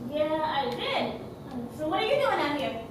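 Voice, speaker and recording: A woman's voice: high-pitched, very animated, moderate pace, background noise. A woman playing a conflicted, earnest young circus performer, wary and reflective, on a theater stage. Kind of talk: stage play acting, dramatic monologue. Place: indoor theater stage, distant mic. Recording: distant echoey theater, loud music behind.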